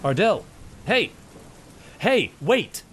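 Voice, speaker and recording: A man's voice: high voice, big pitch swings, extremely slow, a bit bright, slight background noise, heavily acted. A man playing a frustrated, reproachful townsman. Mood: angry. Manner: calling out, reproachful. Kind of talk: fan audio drama acting.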